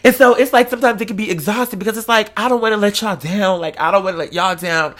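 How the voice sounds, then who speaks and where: High, bright-voiced man, emphatic and slangy. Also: fast. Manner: rambling, digressive. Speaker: online show host. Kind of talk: casual confessional chat to followers.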